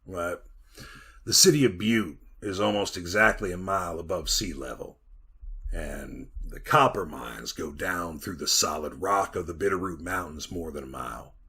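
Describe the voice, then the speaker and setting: A weathered Montana miner in an old-time radio drama. His voice is low, rough and husky with a forceful edge. He spins his tale in a folksy, rambling way, as if to a partner. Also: full character voice. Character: weathered bearded Montana copper miner, 34, haunted yarn-spinner. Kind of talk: retro 1940s radio drama monologue.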